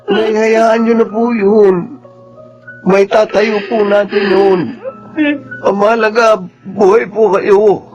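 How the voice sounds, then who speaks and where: Slightly dull recording with music behind a high male voice. It is an earnest, emotional young son, comforting and grateful, in Filipino radio drama. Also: flat delivery, takes it slowly, a little mellow. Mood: consoling.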